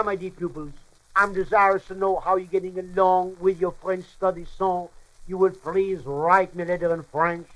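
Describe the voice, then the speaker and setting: A man's voice: sits high, close to an everyday voice, poor audio. A man playing a pompous, bumbling comic French professor in a radio monologue, lecturing in muddled, mock-formal language and mangled words.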